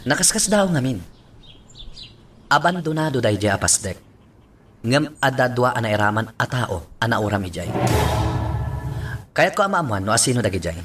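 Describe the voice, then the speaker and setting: An actor playing an earnest, firm and direct police chief in an Ilocano radio drama. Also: male voice, medium pitch, very animated, moderate pace, pauses often, over music, lightly acted.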